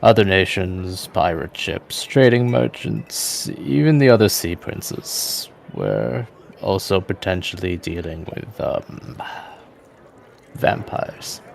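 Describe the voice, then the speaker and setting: A man's voice: low-pitched, big pitch swings, slow pace, gravelly, slight background noise, full character voice. A man playing a weary, guarded male adventurer in a tabletop game, speaking in a reticent, matter-of-fact way. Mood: guarded, serious. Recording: voice over prominent background music.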